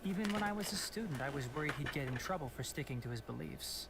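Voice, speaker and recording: A man's voice: on the high side, lively intonation, fast talker, heavy background noise. An actor playing an earnest, worried young male scholar in a game, speaking politely and measuredly. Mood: concerned.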